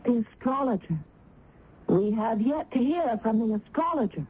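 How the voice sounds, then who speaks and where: High breathy young village woman, emotional, swooping, radio drama, dull old recording. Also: lively intonation, moderate pace, a little mellow. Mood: troubled. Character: tender, troubled young village niece.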